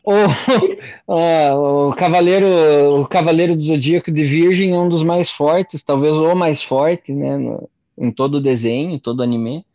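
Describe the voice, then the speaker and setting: A man's voice: on the high side, steady tone, normal speed, mellow, dry recording. A man hosts a podcast, asking his guest questions with plenty of repeated words and small jokes. His voice is dry and close, with no room sound. The sound is dull and lo-fi. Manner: hesitant, repeats words, joking. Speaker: podcast host. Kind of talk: casual interview.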